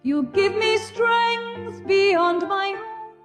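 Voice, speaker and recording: Very high woman singing a slow hymn. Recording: long hall reverb with music.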